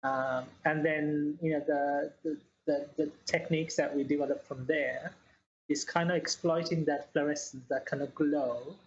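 A woman's voice: low-pitched, steady tone, unhurried, dull audio, slight background noise. A woman from New Zealand, a guest scientist, explaining her work in a casual interview with many hesitant fillers.

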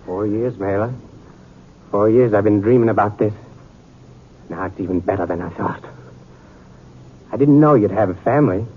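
An American man playing a vengeful, gloating outlaw killer in a radio western, speaking with deliberate menace. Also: on the low side, natural voice. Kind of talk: 1950s radio western acting. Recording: muffled narrow-band 1950s radio, faint noise.

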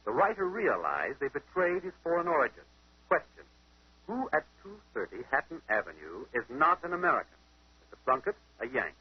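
A man's voice: a little high, very animated, a little fast, slightly rough, heavily acted. An actor playing a confident amateur detective who methodically questions and explains his deductions in 1940s radio mystery style. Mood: deductive, assured. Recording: muffled old-time radio broadcast.

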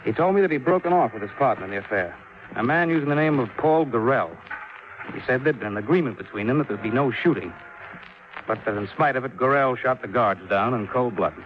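An actor playing a serious, guarded chief insurance adjuster in a 1950s radio drama, speaking gravely and deliberately. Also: male voice, medium pitch, expressive, lightly acted. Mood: guarded, earnest. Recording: dull, noisy 1950s radio recording.

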